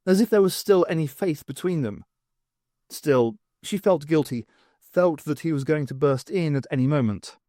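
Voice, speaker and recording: A man's voice: fairly high pitch, a little mellow, dry recording, clean studio sound. A man reading scripted fiction aloud as an audiobook narrator.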